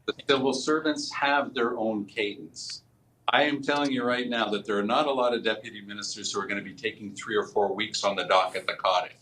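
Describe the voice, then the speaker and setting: A man's voice: on the low side, fairly quick, bright, forceful tone, slight background noise, rough recording. A man giving opinionated political commentary as a panelist, speaking emphatically and with assurance.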